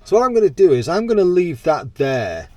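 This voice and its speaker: A man's voice: on the high side, very animated, brisk, a little mellow, lo-fi sound. A man with a British accent chatting casually to camera as a product reviewer. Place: in and around a van.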